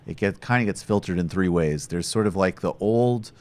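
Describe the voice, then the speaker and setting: A male host with a very low voice leads a political interview. He asks long, rambling questions in an animated, sardonic tone. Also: moderate pace.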